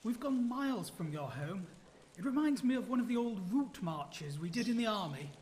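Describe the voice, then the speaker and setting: A woman playing an earnest, nervous, polite young gentleman in a period radio play. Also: mid-range voice, expressive, moderate pace, slight background noise, natural voice. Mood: polite, curious.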